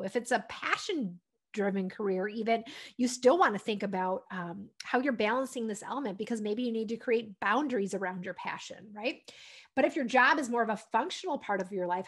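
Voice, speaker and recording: An American woman, around her thirties or forties, giving an encouraging, conversational instructional talk to camera as a wellness coach. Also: medium pitch. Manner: encouraging, conversational, often ending points with "right?". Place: furnished room at home, indoors. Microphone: desktop condenser microphone.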